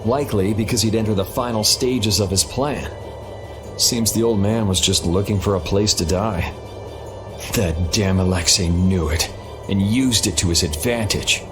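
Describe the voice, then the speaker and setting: A man's voice: lowish voice, normal speed, natural voice. A man voicing a grim, blunt young adventurer in a video game, with music behind him. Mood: grim, bitter.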